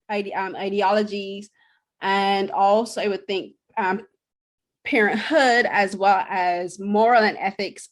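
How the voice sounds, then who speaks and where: A young woman from Barbados co-hosting a podcast, chatting casually about shows she watched, with lots of fillers and restarts. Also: medium pitch. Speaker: podcast co-host.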